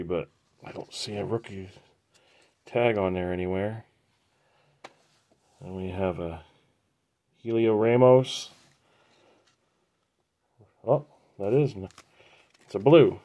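A man with a fairly low voice talks slowly as he opens trading card packs for his viewers. He names each card plainly and unhurriedly, with the easy pace of a regular card-break host. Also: muffled recording. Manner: slow, unhurried, matter-of-fact listing. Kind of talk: casual pack-opening commentary.

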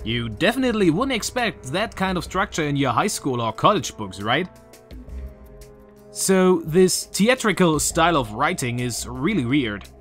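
A young man in his twenties with a German accent, earnestly talking through a game theory from his bedroom, with a few filler pauses. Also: sits high, fairly bright tone. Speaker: YouTuber. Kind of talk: game theory video commentary. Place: small bedroom with shelves, indoors.

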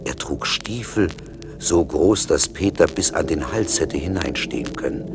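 A man's voice: very deep voice, animated, measured pace, creaky, music in the background, full character voice. A man narrating a scripted fairy tale as the storyteller of a radio play. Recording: vintage LP radio play, faint noise.

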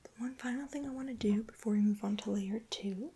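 A young woman whispering gently and breathily in a reassuring, softly hesitant ASMR hairdresser roleplay, close to the microphone. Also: a little high, a little fast, noisy background. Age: young adult. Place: indoor room at home.

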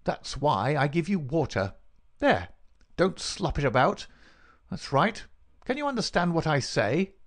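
A man's voice: fairly high pitch, very animated, unhurried, pitched above the performer's own voice, heavily acted. A man voicing a cruel, mocking villain in a storybook reading, gloating and taunting with pointed questions. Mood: gloating menace. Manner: taunting, insistent, rhetorical questioning.

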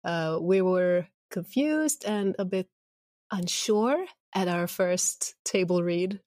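Female interview guest, lower register, informal and laughs easily, dry sound. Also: takes it slowly, a little mellow, dry recording. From Scandinavia. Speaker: podcast guest, audio drama creator and voice actor. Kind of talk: casual interview chat.

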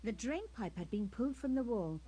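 An actress playing a young female journalist in an adventure game, narrating her investigation in a calm, wry, measured way. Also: a little high, a little hiss or hum, natural voice.

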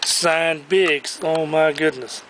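A man's voice: a little high, steady tone, slow, background noise. Upbeat man touring an auction floor. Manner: upbeat, enthusiastic, exclaiming over items. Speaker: auctioneer giving a preview walkthrough. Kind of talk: walking preview tour of sale items. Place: large indoor auction warehouse. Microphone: handheld camera he carries as he walks.

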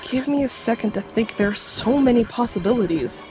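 A studious young woman, played by an actress in an audio drama. Her fairly high voice is measured and cautious as she explains things. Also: expressive, mellow, soft background music, close to an everyday voice, poor audio. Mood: thoughtful. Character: bookish, cautious young female folklore expert.

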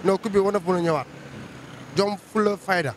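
Senegalese passerby, fast and emphatic, higher voice, faint traffic. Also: male voice. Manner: quick and emphatic, invoking God. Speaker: passerby interviewee. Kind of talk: street interview answers. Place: busy roadside outdoors.

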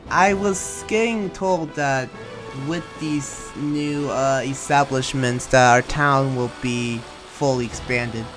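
A man's voice: mid-range voice, slow, natural voice. A man playing a friendly, awkward young demon slayer in improvised game roleplay, talking in a hesitant, rambling, casual way. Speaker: roleplayer in a Minecraft anime roleplay. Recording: music playing behind the voice.

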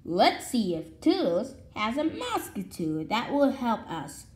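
A woman's voice: on the high side, very animated, takes it slowly, darkish tone, roomy sound. A woman reading a children's picture book aloud, now and then stumbling and restarting, recorded in a room at home. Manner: stumbles over words and restarts. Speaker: read-along presenter. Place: indoor room at home with wooden floor.

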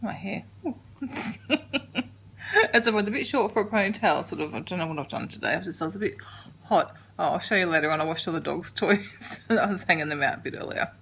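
An Australian woman in her forties chatting through a daily home vlog, rambling with soft ums and sounding a little congested. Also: fairly low pitch, a little fast, vocal fry, a little hiss or hum. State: congested, getting a cold. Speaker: vlogger. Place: living room couch at home. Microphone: handheld camera held close.

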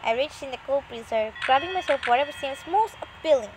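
A woman reading a visual novel aloud as a gamer, expressively, with excited asides. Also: sits high, fast talker, background noise. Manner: expressive, dramatized reading with exclamations. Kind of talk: let's play narration with excited asides. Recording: loud music behind the voice. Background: loud game music.

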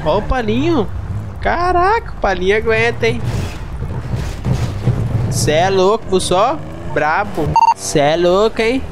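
A man's voice: sits high, expressive, takes it slowly, pauses often, noisy background. A young Brazilian man chatting casually in slangy, exclamatory bursts while playing an online roleplay game. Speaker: gaming streamer. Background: music and game car engines.

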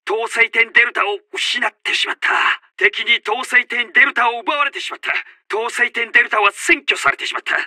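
A commanding Japanese military officer for a war video game. His voice is strikingly high and intensely sharp, stern and urgent as he barks clipped reports and orders. The sound is very dry and close. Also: no room sound, full character voice. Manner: forceful, clipped, barking orders. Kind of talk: shouted tactical voice lines.

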